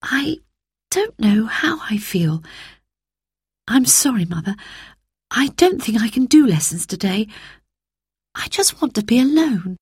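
A woman's voice: fairly high pitch, very animated, measured pace, pauses often, darkish tone, husky, no echo, studio quality, pitched above the performer's own voice, heavily acted. A woman voicing a wistful young girl. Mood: troubled. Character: girl, eldest sister, thoughtful and sensitive.

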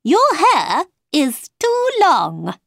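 An eccentric, irritable storybook gentleman at a tea party, played by a woman. He is blunt and emphatic, with raised pitch, very drawn-out words and big swings in tone. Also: huge pitch swings, vocal fry, dry recording, studio quality, heavily acted.

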